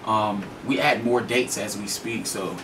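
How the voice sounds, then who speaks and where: A man's voice: lowish voice, measured pace, distant mic, loud music behind the voice. An American man, a guest hip-hop artist, talking in a slangy, informal, rambling way in a casual radio interview. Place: radio studio. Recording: noisy studio, off the mic.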